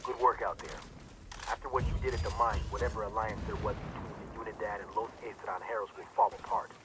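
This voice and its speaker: An actor playing a grim, determined male intelligence informant who briefs soldiers in a measured way in a video game. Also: lowish voice, background noise, lightly acted. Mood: serious. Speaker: intelligence handler briefing a squad. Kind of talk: video game cutscene acting.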